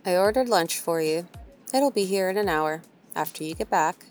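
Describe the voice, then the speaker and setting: An actress playing an efficient, unflappable, matter-of-fact assistant in an audio drama. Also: female voice, fairly low pitch, animated, moderate pace, a little mellow, soft background music, lightly acted. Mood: matter-of-fact, concerned.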